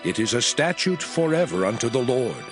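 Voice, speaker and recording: A man playing God proclaiming sacred laws in a dramatized scripture reading, solemn, deliberate and declamatory. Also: medium pitch, big pitch swings. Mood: solemn, commanding. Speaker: scripture voice actor. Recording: music under the voice. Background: background music.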